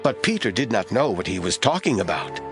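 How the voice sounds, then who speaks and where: A man reading scripted Bible narration as an expressive storyteller for an audio drama. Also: fairly low pitch, brisk, over music.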